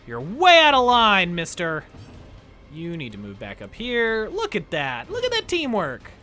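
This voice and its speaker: Animated young man streaming. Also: sits high, a little slow, faint music behind. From United States. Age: young adult. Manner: chatty, animated, talks to chat while playing. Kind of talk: casual gameplay commentary with chat. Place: small room indoors. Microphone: headset mic.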